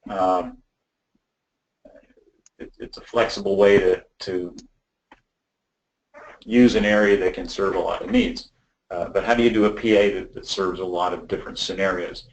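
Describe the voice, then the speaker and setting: Male webinar presenter, lower register, explains technical design informally and haltingly, roomy echoing pickup. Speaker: audio system design presenter.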